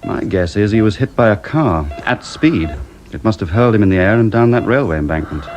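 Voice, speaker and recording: Man acting busy doctor, low pitch, matter-of-fact, clipped, dull radio drama recording. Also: expressive, brisk, a little mellow, soft background music, close to an everyday voice. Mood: grim, brisk. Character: blunt, busy male hospital doctor.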